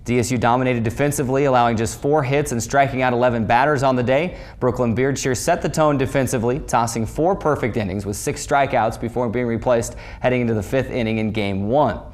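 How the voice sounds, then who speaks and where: Male sports show host, medium pitch, scripted recap read with announcer emphasis. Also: fairly quick, few pauses.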